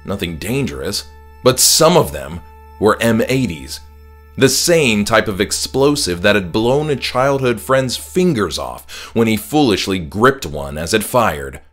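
A man's voice: on the low side, big pitch swings, unhurried, fairly bright tone. A man reading a horror audiobook as a dramatic first-person storyteller. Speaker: author reading own audiobook. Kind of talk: scripted horror audiobook narration. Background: music.

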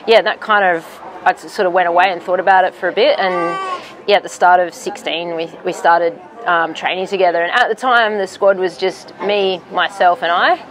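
A woman's voice: fairly low pitch, steady tone, moderate pace, slight background noise. A woman in her thirties, a professional triathlete, answering interview questions outdoors by a street, hesitant with many ums and restarts.

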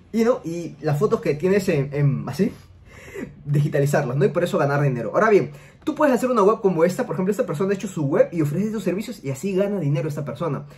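Fast-talking young man explaining. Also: high-pitched, a little mellow. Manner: rapid explainer, tag questions. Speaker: money-making YouTuber. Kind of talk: screen-share tutorial talk. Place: home room, seated in gaming chair.

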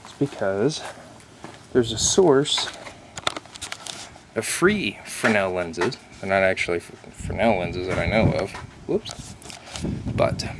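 A man's voice: lowish voice, slight background noise. A young man with a nasal voice, chatting casually as he shows a hands-on project outdoors on a porch, filmed on a handheld camera. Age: young adult. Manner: casual and chatty, explaining hands-on while handling gear. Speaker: DIY video maker. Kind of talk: casual how-to vlog. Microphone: handheld camera mic.

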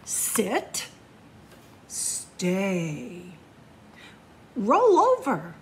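A woman's voice: mid-range voice, huge pitch swings, very slow, a little hiss or hum. A woman reading a picture book aloud at story time, playfully talking straight to young children in a sing-song way. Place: public library room among bookshelves.

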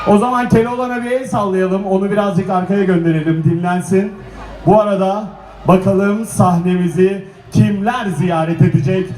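A man's voice: high-pitched, steady tone, moderate pace, darkish tone. A man presenting a live children's stage show, rallying the audience with questions. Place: children's event stage in a shopping mall. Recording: roomy event sound, faint music and crowd.

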